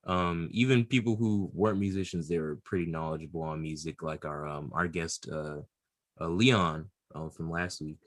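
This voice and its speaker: A man co-hosting a casual podcast, lively and loaded with fillers. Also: sits low, moderate pace, dry recording, clean studio sound. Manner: lively, hesitant with many fillers. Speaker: podcast co-host. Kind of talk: casual chat.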